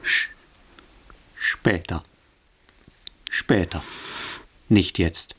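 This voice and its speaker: A discreet, calming man in a radio drama. His voice is very low and husky, and he speaks in short, hushed phrases at a very slow pace. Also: full character voice. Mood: hushed, soothing. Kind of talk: German radio play acting.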